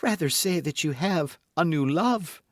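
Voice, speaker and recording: A man's voice: fairly high pitch, animated, unhurried, no echo, clean studio sound, pitched above the performer's own voice. A man reading an audiobook, voicing a proud, anxious lawyer's wife in a raised, pleading voice. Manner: piqued, pleading.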